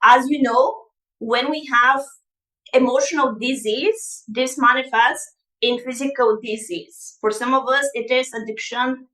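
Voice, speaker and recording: A woman coach whose first language is Bulgarian, speaking straight to an online audience in an upbeat, motivational way. Her voice sits a little high and sounds bright and forceful, and the room around her echoes. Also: fairly even intonation, unhurried. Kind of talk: live online masterclass.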